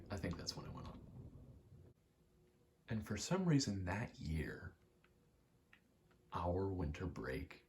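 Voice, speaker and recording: A young man giving a soft-spoken, halting, reflective ASMR ramble, telling personal stories in a hushed voice close to the mic. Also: low-pitched, a little hiss or hum. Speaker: ASMR creator.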